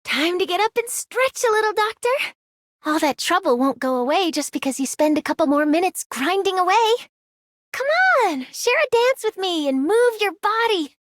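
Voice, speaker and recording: A peppy game girl, high lively voice, bubbly and coaxing, dry studio sound. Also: moderate pace, no room sound. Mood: cheerful, coaxing. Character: peppy, encouraging young woman who loves dancing. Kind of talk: scripted game character voice line.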